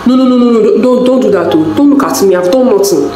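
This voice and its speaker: A woman storyteller voicing a wronged young woman, emotional and loudly protesting. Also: mid-range voice, lively intonation, fast, far from the mic, noisy background, voice pushed up, natural voice. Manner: loud, protesting. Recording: roomy echo, music bed.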